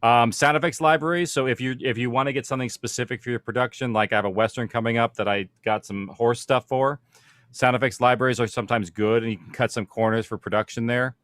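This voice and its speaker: A man's voice: mid-range voice, fairly even intonation, quick pace, fairly bright tone, dry recording, studio quality. A man speaking as a producer on a panel, explaining practical matters informally and conversationally, thinking aloud as he goes.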